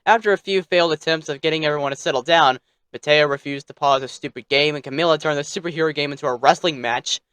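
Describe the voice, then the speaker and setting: A man's voice: fairly high pitch, quick pace, a bit bright, clear, resonant tone, no room sound. A man telling a bedtime story as narrator, theatrical, with playfully snippy asides.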